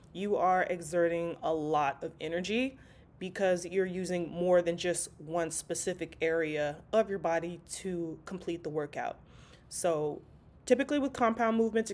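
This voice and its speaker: A woman's voice: fairly low pitch, a little mellow. Congested woman's casual workout voice-over. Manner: casual, chatty, addresses viewers as y'all. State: congested, stuffy. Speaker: fitness vlogger.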